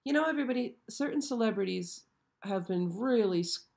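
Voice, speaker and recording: A hoarse woman hosting a casual live stream, chatty and often checking in with her viewers. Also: mid-range voice, animated, brisk, darkish tone. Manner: chatty, frequently checks in with "okay?". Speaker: show host. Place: show set.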